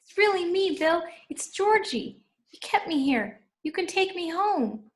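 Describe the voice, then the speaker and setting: A woman's voice: very high-pitched, sing-song, a little slow, exaggerated voice. A woman playing a needy, eerie little boy who pleads in an amateur table read. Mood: pleading.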